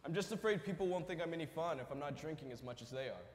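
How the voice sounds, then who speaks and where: Guy playing worried student on stage, talks fast, very echoey hall. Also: a little high, animated, a little hiss or hum, close to an everyday voice. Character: worried young college student owning up. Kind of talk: staged readers theater monologue.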